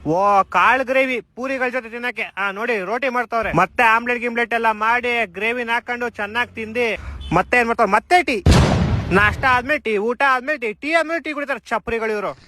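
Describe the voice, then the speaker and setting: Fast, high-pitched man doing teasing commentary. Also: pushed and bright, a little hiss or hum. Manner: teasing, mock-exasperated, with rhetorical questions. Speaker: video commentator. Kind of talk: comic voice-over commentary, casual slangy talk.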